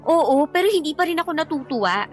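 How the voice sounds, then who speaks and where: A woman's voice: high voice, animated, fast talker, soft background music. An actress with a Filipino accent playing a jealous, irritable live-in girlfriend in a radio drama, testy and snappish. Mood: hurt, annoyed.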